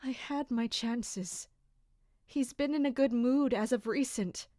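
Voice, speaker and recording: Anguished captain, slow and high-voiced. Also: female voice, mellow, no room sound, clean studio sound. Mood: regretful. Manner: slow, brooding, emotionally intense. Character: anguished female royal captain, bitter and dutiful. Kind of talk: dramatic audio drama monologue and dialogue.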